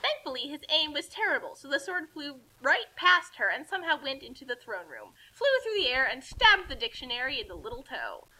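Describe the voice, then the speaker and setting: A woman reading a story aloud as narrator, in an animated, informal style with casual asides. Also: high-pitched, a little fast, few pauses, rough recording. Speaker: narrator reading a fan fiction.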